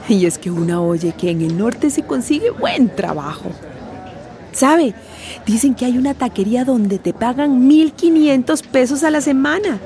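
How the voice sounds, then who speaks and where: A woman's voice: fairly high pitch, a little mellow. A woman acting a weary, plain-spoken working-class migrant in a radio drama, telling of her ordeal. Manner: plain-spoken, colloquial storytelling.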